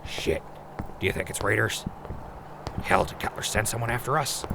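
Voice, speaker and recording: Man playing a low-voiced, wry wasteland ghoul. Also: normal speed, vocal fry, noisy background. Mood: wry, uneasy. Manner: wry, plain-spoken. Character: wry, foul-mouthed older ghoul companion. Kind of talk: post-apocalyptic audio drama acting.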